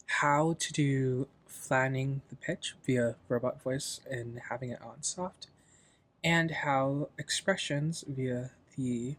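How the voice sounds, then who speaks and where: A man hosting a software tutorial in a casual, loosely explanatory, informal way. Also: medium pitch. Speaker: tutorial host.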